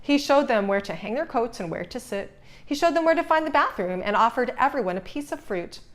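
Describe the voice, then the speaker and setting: Woman, children's read-aloud narrator, scripted storybook, expressive, very lively intonation. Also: a little high, brisk.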